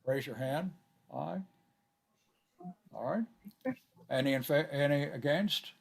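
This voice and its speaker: An older man chairing a board meeting on a video call, courteous and halting with many filler sounds. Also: a little high, expressive, vocal fry.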